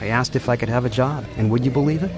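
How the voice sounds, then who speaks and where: An actor playing a bitter, world-weary carnival manager in an audio drama, irritable and sardonic. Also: male voice, medium pitch, racing, lightly acted. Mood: reflective, weary. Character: bitter, brooding carnival manager haunted by lost love. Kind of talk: audio drama narration and dialogue. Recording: music behind the voice.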